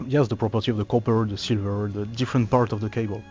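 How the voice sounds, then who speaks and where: A man representing a cable company in a trade show interview, speaking haltingly with many fillers as he searches for words. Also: lowish voice, steady tone, darkish tone, husky, lo-fi sound, faint music behind.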